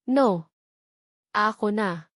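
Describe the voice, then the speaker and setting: A woman's voice: mid-range voice, extremely slow, no room sound, clean studio sound. A woman with a Filipino accent voicing a devoted, anxious young mother in a narrated story.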